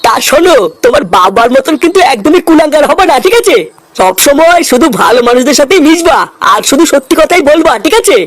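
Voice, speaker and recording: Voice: high voice, expressive, quick pace. A performer voicing a bossy, preachy old village uncle in an exaggerated comedy cartoon, pompous and scolding.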